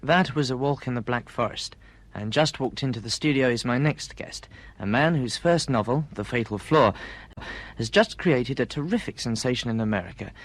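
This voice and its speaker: An actor playing a genial, flattering male radio chat show host interviewing a guest in a radio drama. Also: medium pitch, moderate pace, soft background music, natural voice. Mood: upbeat. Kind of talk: British radio drama acting, broadcast interview.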